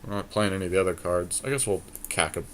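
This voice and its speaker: A man with a fairly low voice talks casually through a card game draft. He deliberates out loud over each pick as a podcast co-host, in an offhand conversational way. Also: slight background noise. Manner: thinking aloud, deliberating choices. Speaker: podcast co-host and player making card picks.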